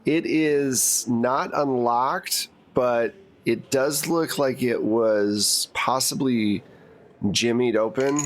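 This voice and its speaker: A man's voice: medium pitch, slow pace. A man narrating a tabletop roleplaying game as game master, casual and given to joking asides.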